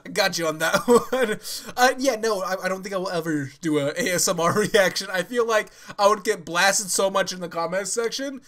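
A man's voice: sits high, brisk, fairly bright tone. A young man with a stuffy nose and sore throat, chatting casually and laughing as he hosts an anime reaction from his small bedroom on a headset mic. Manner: rambling, laughing, restarts a lot. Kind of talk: casual chat to camera. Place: small bedroom with yellow walls.

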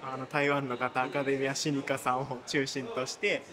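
A man's voice: medium pitch, little pitch movement, quick pace, a crowd in the background. A man in his thirties, a visiting researcher, answering interview questions on camera in a small lab room, chatty and eager, often affirming as he talks.

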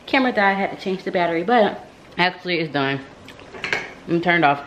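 A woman's voice: fairly low pitch, animated, a little fast, muffled recording, background noise. A young American woman vlogging about her cooking in an informal, conversational way. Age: young adult. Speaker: vlogger, host. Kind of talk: casual cooking vlog talk. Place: home kitchen, indoors.

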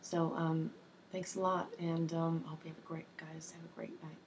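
A young woman reads in a soft, hushed ASMR manner, her voice hoarse from a cold and sitting low. Her delivery barely rises or falls. A faint hiss runs under the webcam recording of her small home office. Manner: soft-spoken, slow and even, with ums and uhs. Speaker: ASMR creator. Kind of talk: soft-spoken ASMR reading of a personal testimony.

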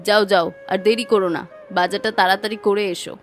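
A woman voicing a caring, gently scolding village housewife in a cartoon fairy tale, homely and coaxing. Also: medium pitch, fairly even intonation, quick pace, faint music behind, natural voice. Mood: affectionate.

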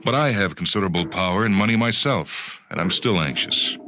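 A man's voice: deep voice, animated, brisk, noisy background. A man narrating a short story in the first person, with a conversational, emphatic delivery.

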